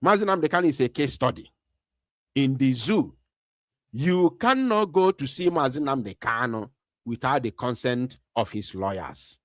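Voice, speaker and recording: A man with a Nigerian English accent gives a commentary monologue to his viewers. He talks emphatically, repeats his phrases and often pauses on fillers. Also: medium pitch, lively intonation, no room sound, clean studio sound. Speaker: online channel host.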